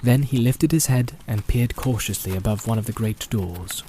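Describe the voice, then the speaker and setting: Mellow, deliberate male audiobook narrator. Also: on the low side, slight background noise. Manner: deliberate, atmospheric, suspenseful. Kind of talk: scripted audiobook narration, dramatic storytelling. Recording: home-made, nature soundscape mixed behind. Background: animal and nature sound effects.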